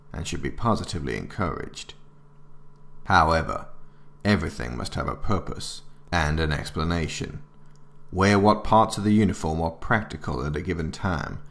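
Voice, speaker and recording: A stern military man sets out army regulations, voiced by a male actor. His voice is very deep and gravelly. He narrates formally and deliberately, with authority, as in an audio drama. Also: full character voice.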